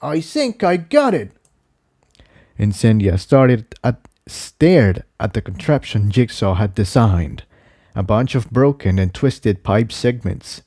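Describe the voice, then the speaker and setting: A man's voice: fairly low pitch, dark tone, no echo, clean studio sound. A man narrating an adventure story from a script. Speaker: fan fiction reader, narrator.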